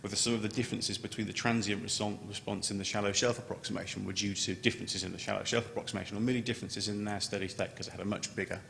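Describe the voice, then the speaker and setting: Big echoey room w some hiss and rumble, guy with gravelly voice doing a technical conference talk, stumbles and repeats words. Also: fairly even intonation, a bit bright. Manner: halting, with stammered word repetitions. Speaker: scientist presenting at a conference. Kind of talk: technical conference presentation, unscripted. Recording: echoey hall, noticeable background noise, low rumble.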